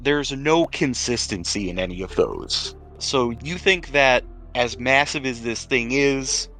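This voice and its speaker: A man narrates a tabletop role-playing game as game master. His pace is very slow and measured, with deliberate pauses between phrases. The pitch is average for a man, and faint music plays underneath. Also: animated, pushed and bright.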